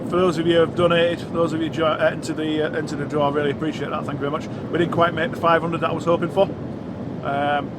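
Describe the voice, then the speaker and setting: A man's voice: level tone, fast talker. A man with a Yorkshire accent and a slightly congested, sniffly voice, chatting casually and bluntly to viewers as a truck driver vlogger, thinking aloud as he goes. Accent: Yorkshire English. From Yorkshire, England. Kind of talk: casual rambling chat to viewers. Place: inside a lorry cab while driving. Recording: loud engine and road rumble.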